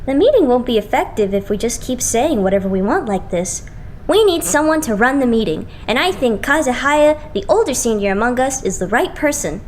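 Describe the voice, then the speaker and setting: High, animated, blunt young idol, voiced by a woman. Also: fairly quick, slight background noise. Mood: matter-of-fact. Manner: blunt, expressive. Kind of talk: anime game fandub acting.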